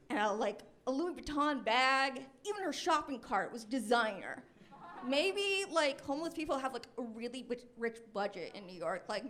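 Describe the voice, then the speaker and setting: A high-pitched American woman in her twenties performs stand-up on a club stage. She tells stories in a chatty, self-deprecating way, as a comedian addressing a live crowd. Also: a bit bright. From Los Angeles, United States. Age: 20s. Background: audience laughter.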